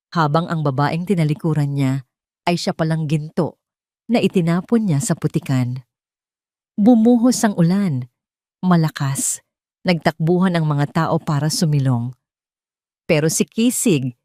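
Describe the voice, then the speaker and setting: A woman's voice: lowish voice, darkish tone, no room sound, clean studio sound. Woman narrating a dramatic audio story. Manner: dramatic storytelling in short, clipped sentences.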